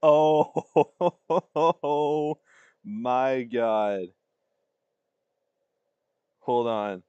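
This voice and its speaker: A man's voice: medium pitch, very slow, warm tone, clean tone, no echo, lo-fi sound. A man giving casual, off-the-cuff reaction commentary as a livestreamer. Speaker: livestreamer reacting to fan-made videos.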